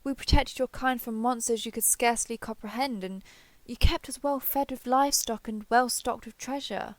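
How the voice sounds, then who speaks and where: A woman's voice: on the high side, steady tone, moderate pace, no echo, close to an everyday voice. A woman voicing a proud, ancient female dragon in a fantasy roleplay audio, with formal, haughty, archaic phrasing. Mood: offended, proud.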